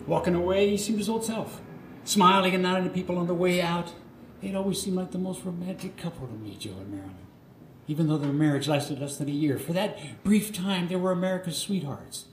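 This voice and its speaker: A middle-aged man narrates a personal story in live radio theatre. His fairly high voice is earnest and theatrical, building phrase by phrase. The room rings around him, with noise behind. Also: a little fast. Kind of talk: live autobiographical monologue, scripted narration. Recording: roomy live theatre, clear background noise.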